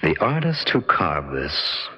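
A deep-voiced, level-headed explorer. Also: male voice, very animated, bright, forceful tone, a little hiss or hum, full character voice. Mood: awed, grave. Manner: measured, deliberate, dramatic. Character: level-headed, inquisitive male explorer recounting his discovery. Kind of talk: old-time radio drama narration and dialogue. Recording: band-limited old radio recording.

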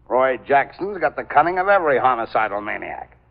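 Blustering police commissioner, dull recording. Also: male voice, mid-range voice, big pitch swings, brisk, vocal fry. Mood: grim, urgent. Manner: blustering, impatient. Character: gruff, harried, bossy male police commissioner. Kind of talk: old-time radio drama acting. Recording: dull old radio recording.

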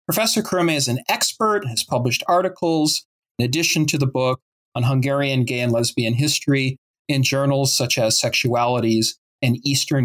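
A man's voice: medium pitch, fairly bright tone, poor audio. Male podcast interviewer. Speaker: podcast host. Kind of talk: scripted introduction, then conversational interview.

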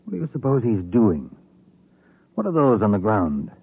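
A thin, tinny old broadcast carries a wary, questioning doctor. His voice is low, a little rough, and swings expressively in clipped radio drama phrases. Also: huge pitch swings, normal speed, full character voice. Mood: uneasy. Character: uneasy, inquisitive doctor on a space crew. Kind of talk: classic radio drama acting.

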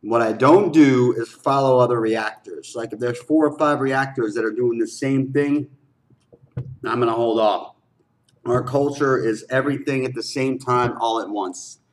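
A man's voice: medium pitch, measured pace. A man hosting a reaction video with casual, slangy commentary, often repeating phrases for emphasis.

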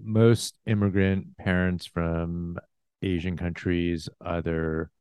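A man's voice: low-pitched, flat delivery, takes it slowly, a little mellow, clear, resonant tone, no echo, clean studio sound. A male host interviewing a guest casually, reacting with short interjections and laughing along.

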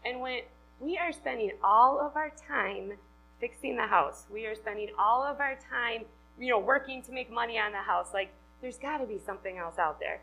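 A woman's voice: a little high, moderate pace, pushed and bright, a little hiss or hum, low-quality recording. A woman in her thirties from Wisconsin with a Wisconsin accent, giving a chatty, upbeat talk to a live audience and laughing often. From Wisconsin, USA. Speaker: conference speaker.

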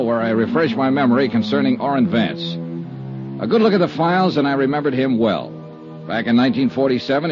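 Man acting sardonic radio detective, clipped and dry, lively swings in pitch, muffled 1950s recording with music. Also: lightly acted. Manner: clipped, dry, hard-boiled. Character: cool, sardonic male freelance insurance investigator, radio detective. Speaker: lead actor and narrator.

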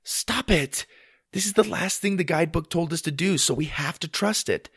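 A man's voice: high-pitched, big pitch swings, moderate pace, gravelly, husky, no room sound, studio quality, voice pushed up, exaggerated voice. A man voicing a sensible but nervous young girl in a children's story. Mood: firm.

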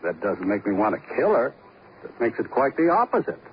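A man's voice: medium pitch, sing-song, fairly quick, lightly acted. An actor playing a defensive, self-important married man under suspicion, blustering, slangy and indignant, in 1950s radio crime drama style. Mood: scornful. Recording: muffled, faintly noisy old radio recording.

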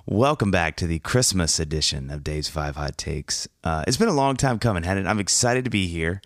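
A man's voice: sits low, lively intonation, brisk, no echo, studio quality. A man hosting a podcast in a casual, rambling, jokey way, sounding a bit scratchy from a cold. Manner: rambling, jokey, self-mocking asides. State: has a cold, slightly scratchy. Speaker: podcast host. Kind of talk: casual monologue intro.

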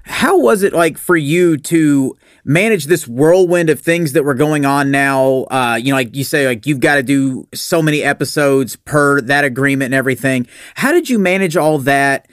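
A man's voice: mid-range voice, moderate pace, hardly any pauses, smooth, no room sound, studio quality. A man hosting a casual interview in an agreeable, conversational way. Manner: agreeable, frequent short affirmations. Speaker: podcast host, interviewer.

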